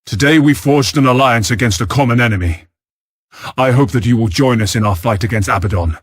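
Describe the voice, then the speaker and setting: An actor playing a resolute, dutiful space navy admiral in a video game, with a firm and formal military delivery. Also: male voice, medium pitch, brisk, no room sound, clean studio sound, natural voice. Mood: solemn. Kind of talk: video game cutscene acting. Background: music.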